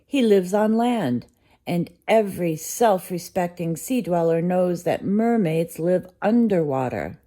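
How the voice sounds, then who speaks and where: A fussy crab conductor from a children's storybook, put on by a woman reader. The creature is anxious and exasperated, protesting with great drama in a soft-edged, mellow tone. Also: fairly low pitch, expressive, measured pace, pitched above the performer's own voice. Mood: grumbling protest.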